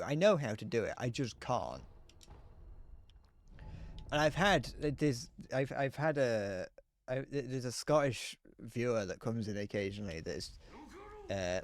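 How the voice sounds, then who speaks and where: A young man streaming a game, chatting casually in a rambling, self-deprecating way that keeps trailing off mid-sentence. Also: medium pitch. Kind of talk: casual chat while gaming.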